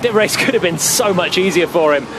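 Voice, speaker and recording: A man's voice: high-pitched, lively intonation, brisk. A man co-commentating on a live motor race, giving analytical expert remarks. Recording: clear engine noise behind. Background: racing car engines.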